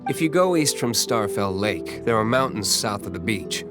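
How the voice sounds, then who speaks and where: A man playing a cool, stern young nobleman vigilante in an anime-style video game, terse and deadpan. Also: lowish voice, normal speed, over music, natural voice. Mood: cool, matter-of-fact.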